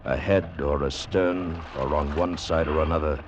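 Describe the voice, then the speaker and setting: Solemn, very deep-voiced sea-tale narrator. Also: male voice, fairly even intonation, noisy background. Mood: grave, ominous. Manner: grave, measured, deliberate delivery. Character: solemn literary male narrator of sea adventure. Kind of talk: 1940s-50s radio drama narration. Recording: muffled vintage radio recording with music. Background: dramatic music.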